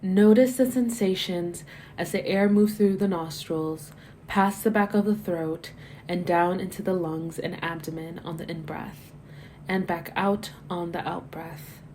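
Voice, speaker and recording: A woman guiding a body scan meditation as scripted narration, giving gentle, measured, soothing instructions that soften at the ends of phrases. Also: lowish voice, fairly even intonation, a little hiss or hum. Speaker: meditation guide.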